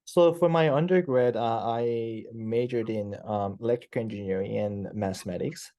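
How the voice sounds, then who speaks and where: A man's voice: mid-range voice, moderate pace, dark tone. A young man in his twenties from South Korea with a Korean accent, answering interview questions hesitantly over a headset video call, with many fillers. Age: 20s. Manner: hesitant, many fillers and restarts, laughs easily. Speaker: PhD student guest. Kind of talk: casual interview chat. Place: office, indoors.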